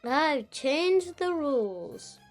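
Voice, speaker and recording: Young boy as a bossy, high-voiced frog. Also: big pitch swings, very slow, soft background music, exaggerated voice. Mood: smug. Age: child, 8 years old. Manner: firm, commanding. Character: bossy rule-making frog.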